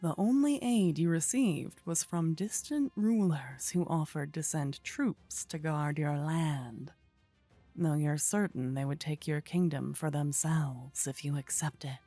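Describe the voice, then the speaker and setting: A woman's voice: low voice, takes it slowly, mellow, dry recording, soft background music. A woman narrating a scripted fantasy story in an ASMR style.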